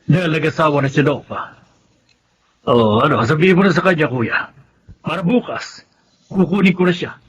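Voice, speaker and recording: An actor playing a kindly, cautious household employer in a Filipino radio drama. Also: male voice, fairly high pitch, normal speed, long pauses, breathy edge. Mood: sympathetic. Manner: questioning, considerate. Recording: slightly dull audio, faint music.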